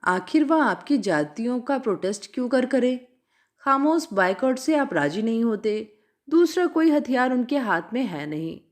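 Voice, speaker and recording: High-pitched, expressive Indian woman storyteller. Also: normal speed. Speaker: story narrator. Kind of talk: expressive audiobook-style reading of a classic short story.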